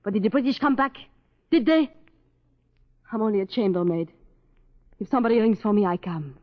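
A woman's voice: mid-range voice, animated, a little fast, lightly acted. Woman playing a defiant chambermaid in reverberant sound. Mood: bitter. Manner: cool, sharp-tongued. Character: bitter, defiant young French chambermaid. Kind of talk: 1940s radio drama acting. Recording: muffled old radio recording, long reverb.

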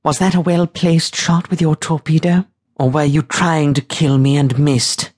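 A man's voice: on the high side, little pitch movement, measured pace, darkish tone, pitched above the performer's own voice. A man voicing a proud, sardonic woman freebooter captain in an audiobook, with a lofty, clipped delivery. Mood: sarcastic. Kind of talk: audiobook character voice.

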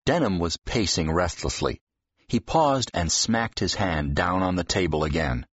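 A man's voice: low voice, lively intonation, a little slow, slightly rough, dry recording, dull audio. A man reading scripted fiction narration as an audiobook narrator.

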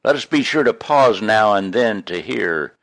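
A man reading his own poems in an expressive, folksy, homespun way, with casual introductions between them. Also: fairly low pitch, unhurried, no echo. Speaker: poet reading own work.

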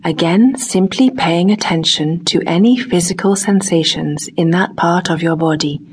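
A woman with a lowish, distinctly husky voice reads a guided meditation. She speaks gently and takes her time, setting short phrases apart with long pauses. The delivery is that of a scripted relaxation audiobook.

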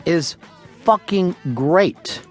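A man hosting a radio talk show, taking callers and telling absurd tall tales with a deadpan, profane delivery. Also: high voice, extremely slow, no room sound, faint music behind.

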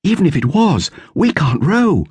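Guy doing a young girl in a kids audiobook, high voice, brisk, bit dramatic and imaginative. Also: sing-song, a little mellow, husky, no echo, full character voice. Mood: matter-of-fact. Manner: wistful, dramatic when storytelling.

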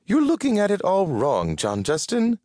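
A man voicing a prim, fussy and excitable woman with a proper, exclamatory way of scolding, as in an audiobook. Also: high-pitched, moderate pace, dry recording, clean studio sound, voice pushed up. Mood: fussy, insistent.

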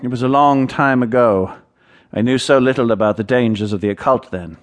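Man playing a haughty, guarded gentleman ghost hunter. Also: mid-range voice, brisk, lightly acted. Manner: dry, sardonic, evasive. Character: haughty, guarded Edwardian gentleman occult investigator. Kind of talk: radio drama acting.

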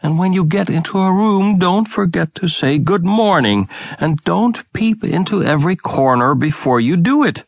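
A man's voice: high voice, expressive, moderate pace, creaky, no room sound, studio quality, pitched above the performer's own voice, heavily acted. A man voicing a careful, fussy mother giving instructions in a storybook. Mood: caring, instructing.

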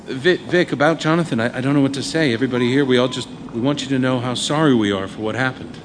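An actor playing an earnest, excitable lab colleague in an audio drama, conversational with a stammering hesitance. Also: male voice, mid-range voice, fast, natural voice. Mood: awkward sympathy. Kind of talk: live audio drama acting. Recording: live recording with faint ambient noise.

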